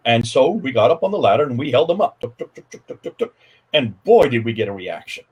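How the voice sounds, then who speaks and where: Animated husky American man. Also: medium pitch, quick pace, highs cut off. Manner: emphatic, interrupts and takes charge of the conversation. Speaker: guest speaker. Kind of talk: animated explanatory talk.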